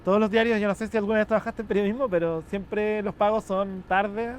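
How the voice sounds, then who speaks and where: A man from southern Chile with a Chilean accent, talking in a relaxed, chatty way as an interview guest outdoors. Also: sits high, a little fast, a little hiss or hum. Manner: relaxed, chatty, restarts often, laughs easily. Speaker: interview guest, journalist and photographer. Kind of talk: casual conversation. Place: rooftop patio in Brooklyn, outdoors.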